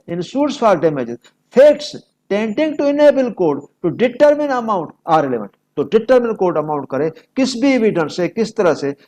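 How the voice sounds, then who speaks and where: A Pakistani man teaches in the manner of a lecturer. His voice sits fairly high for a man and carries a heavy gravelly creak. He is didactic, often putting a question and then answering it himself. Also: darkish tone. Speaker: law lecturer. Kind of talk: explanatory lecture mixing Urdu and English.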